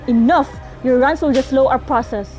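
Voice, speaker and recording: A woman's voice: high-pitched, normal speed, a little mellow, soft background music, close to an everyday voice, rough recording. A woman voicing a stern, impatient investigator who questions students in a firm, businesslike way in a student radio drama.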